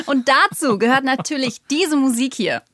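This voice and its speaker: An actress playing a gushing, playful young podcast host in a chatty studio interview within an audio drama. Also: female voice, high-pitched, expressive, normal speed, a bit bright, faint music behind. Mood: excited, nostalgic.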